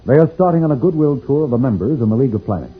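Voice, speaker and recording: A man's voice: mid-range voice, expressive, rapid-fire, natural voice. A man narrating a vintage radio adventure serial in a grand, declamatory scripted style. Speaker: announcer and narrator. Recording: tinny old radio recording. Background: loud dramatic music.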